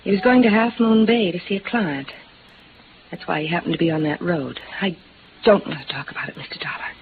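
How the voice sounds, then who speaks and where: An American actress playing a cool, guarded, evasive widow in a melodramatic 1950s radio noir drama. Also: female voice, on the low side, a little fast, natural voice. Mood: pained, evasive. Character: cool, guarded, alluring widow hiding a secret. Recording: muffled, hissy vintage radio recording.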